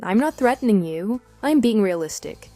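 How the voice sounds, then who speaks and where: A woman's voice: medium pitch, lively intonation, normal speed, mellow, no room sound, soft background music, lightly acted. A woman playing a coolly sarcastic wronged wife in a radio drama, speaking in a dry, firm, matter-of-fact way. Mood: firm, warning. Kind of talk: scripted radio drama dialogue.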